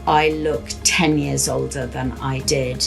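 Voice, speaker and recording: A woman's voice: rumbling low, flat delivery, slow pace, gravelly, music in the background. A woman in her late fifties or older, giving a reflective personal account as an interviewee. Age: late 50s or older. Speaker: interviewee, career-changer teacher and former journalist.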